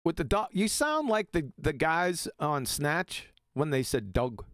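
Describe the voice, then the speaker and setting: Lively, fairly high-voiced teasing man. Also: a little slow, no echo, clean studio sound. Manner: animated, teasing, laughs a lot. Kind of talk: casual group voice chat.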